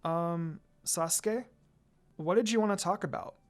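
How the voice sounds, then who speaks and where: A woman reading a fanfic aloud and voicing an eager, excitable teenage girl ninja in a raised, bright way. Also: lowish voice, measured pace, natural voice. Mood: hesitant.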